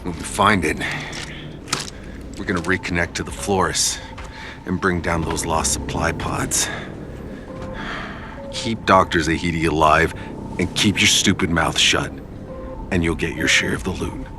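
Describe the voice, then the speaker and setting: A man's voice: low voice, steady tone, a little slow, long pauses, music in the background. A man playing a laconic, commanding mercenary soldier in a video game cutscene. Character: gruff, low-voiced mercenary soldier man, laconic leader.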